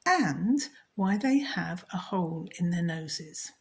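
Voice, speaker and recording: A woman voicing an excitable, inquisitive, anxious man in a children's storybook, with an eager, questioning manner. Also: on the low side, slow pace. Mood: curious, eager. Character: excitable, inquisitive man who keeps monsters, polite and anxious.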